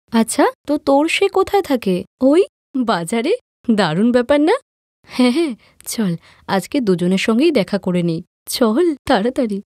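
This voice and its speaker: A woman voicing excitable, playful young twin sisters who chatter and exclaim in a cartoon story. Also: mid-range voice, no room sound, clean studio sound. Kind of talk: cartoon moral story voice acting.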